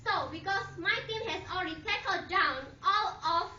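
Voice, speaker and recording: A woman's voice: very high voice, normal speed, pushed and bright, a little hiss or hum. A woman giving an assertive, structured debate rebuttal in non-native English, heard from far off in an echoey hall on a dull lo-fi recording. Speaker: debater, replier for affirmative team.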